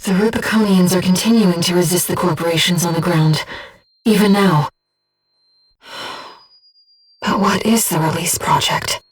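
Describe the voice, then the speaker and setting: A woman voicing a gentle, earnest disembodied being in a video game, guiding a pilot with composed, precise, softly formal delivery. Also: fairly low pitch, normal speed, slightly rough, distant mic. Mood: calm, informative. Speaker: mission support operator. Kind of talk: scripted video game voice acting.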